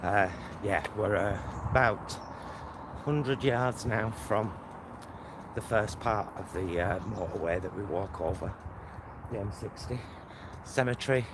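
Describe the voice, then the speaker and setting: Northern English man vlogging over loud traffic. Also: lowish voice, a little slow. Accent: Northern English, Greater Manchester. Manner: rambling, chatty, self-interrupting. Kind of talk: casual walking vlog chat. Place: outdoors, walking by roads and motorway. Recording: outdoor recording with loud traffic noise. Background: loud traffic and outdoor ambience.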